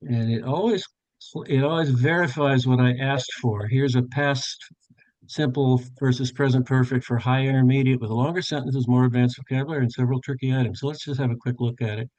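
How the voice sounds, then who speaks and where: A man's voice: mid-range voice, fairly even intonation, fairly quick, a little mellow, no room sound, dull audio. An older man leading a live online workshop for tutors, thinking aloud as he narrates each step on a video call, with frequent fillers and self-corrections. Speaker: tutor trainer. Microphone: webcam on a video call.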